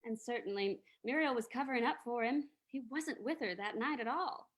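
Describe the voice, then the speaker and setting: A detective's female companion in a radio mystery, played by a woman. She has a slightly high voice and an inquisitive, confiding way of talking. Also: brisk, close to an everyday voice. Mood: thoughtful. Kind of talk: old-time radio drama reading.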